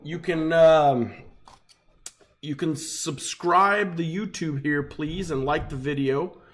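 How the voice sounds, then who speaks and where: Chatty upbeat man, slightly raspy. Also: a little high, slow. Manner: upbeat, chatty, talks while opening packs. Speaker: card break host. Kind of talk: casual live card break chat.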